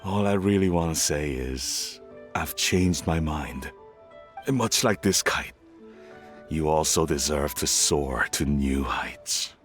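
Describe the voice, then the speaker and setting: A gruff middle-aged father in a video game. His voice sits very low and he takes his time, halting and a little awkward as he shows a tender, reticent pride. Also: animated, soft background music, exaggerated voice. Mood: tender, encouraging. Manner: halting, sincere, slightly awkward.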